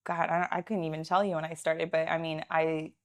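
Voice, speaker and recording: A woman's voice: sits low, flat delivery, a little fast. A woman in her thirties whose first language is Russian, chatting casually as an interview guest with many "like" fillers. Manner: chatty, frequent "like" fillers, laughs easily. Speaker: guest, realtor and entrepreneur.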